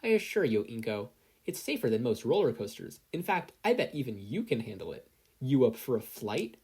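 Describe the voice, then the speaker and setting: A podfic reader voicing a brisk, confident woman who is a pro-hero mentor. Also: mid-range voice, sing-song, darkish tone, breathy edge. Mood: breezy, reassuring.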